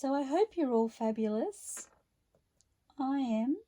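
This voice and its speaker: A woman talks through her crafting at a slow, easy pace. Her voice sits a little high and sounds mellow, with a croaky edge today. She chats casually, narrating each step as she works. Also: expressive. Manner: chatty and unhurried, talking through each step. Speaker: craft vlogger. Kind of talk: relaxed craft narration while working.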